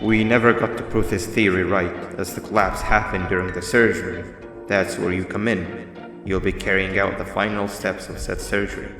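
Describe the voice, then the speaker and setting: An actor voicing a calm, grave monster mascot in a video game, giving measured, formal instructions like a schemer. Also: male voice, low-pitched. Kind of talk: scripted video game dialogue. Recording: roomy reverb with music underneath.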